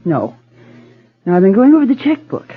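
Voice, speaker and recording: An actress playing an exasperated, nagging wife with a pointed manner in a radio drama. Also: female voice, mid-range voice, sing-song, normal speed, breathy edge. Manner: pointed, emphatic. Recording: tinny old radio recording.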